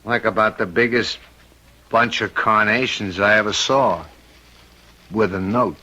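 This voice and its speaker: An actor playing a steady, devoted man in a radio drama, plain-spoken and reassuring in short clipped phrases. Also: fairly low pitch, a little slow, background noise, close to an everyday voice. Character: steady, devoted man looking after a female singer. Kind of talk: 1970s radio drama acting.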